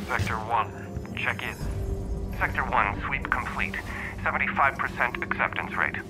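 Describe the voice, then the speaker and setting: A man's voice: medium pitch, normal speed, over loud music, lightly acted, low-quality recording. A man playing a dutiful space patrol trooper in a video game, reporting in a clipped, procedural manner.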